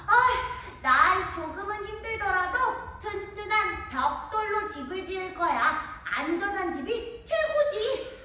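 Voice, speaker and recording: A woman voicing young, excitable little pigs in a sing-song, exclaiming way for a children's puppet show. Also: extremely high pitch, measured pace, exaggerated voice. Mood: cheerful. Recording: roomy echo, distant mic, light noise.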